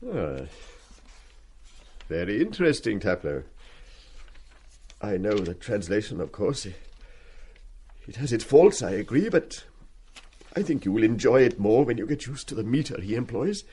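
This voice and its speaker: An actor playing an ailing, repressed middle-aged schoolmaster in a radio play, formal, hesitant and apologetic in manner. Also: male voice, lowish voice, very animated, normal speed, warm tone, slightly rough, heavily acted. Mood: formal, gently moved.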